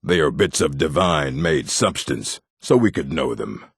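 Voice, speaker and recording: Very deep, gravelly orc. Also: male voice, big pitch swings, moderate pace, dry recording, studio quality, full character voice. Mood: grave, explanatory. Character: gruff male orc, scornful, scholarly and menacing. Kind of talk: fantasy video game character acting.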